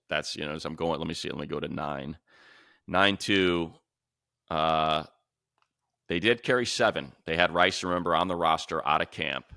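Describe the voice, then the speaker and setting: A man co-hosting a sports talk show, giving casual analysis and thinking out loud as he runs through lists of names. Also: a bass voice, creaky, no room sound, studio quality.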